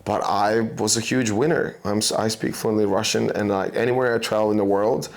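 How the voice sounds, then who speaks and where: Latvian guy in his 30s, low voice with gravel, chatty and loose. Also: steady tone, a little fast. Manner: loose, chatty, many fillers. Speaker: podcast host. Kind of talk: casual chat.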